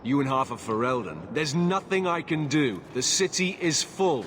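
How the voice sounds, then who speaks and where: An actor playing a weary, unyielding city guard captain in a video game, curt, dismissive and authoritative. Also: male voice, mid-range voice, animated, moderate pace, a bit bright, slight background noise, lightly acted. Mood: firm, dismissive. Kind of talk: dramatic video game dialogue.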